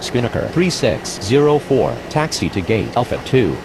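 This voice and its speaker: Man doing a businesslike pilot radio call, pitch moves a lot, clear noise behind. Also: sing-song, normal speed. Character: businesslike male pilot making airport radio calls. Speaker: air traffic radio voice. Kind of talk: scripted aviation radio phraseology. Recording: clear vehicle-like ambient noise.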